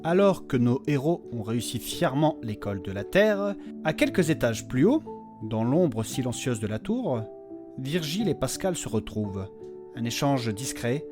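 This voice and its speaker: A man narrating a fiction podcast in a scripted, dramatic storytelling style. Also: medium pitch. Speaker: narrator and host. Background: music.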